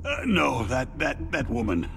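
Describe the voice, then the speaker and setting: A middle-aged male captive in a video game, with a creaky, gravelly voice. He is a battered, panicky company boss who stammers and speaks haltingly. Music plays clearly underneath. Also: medium pitch, very animated. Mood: halting, weary. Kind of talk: dramatic video game voice acting.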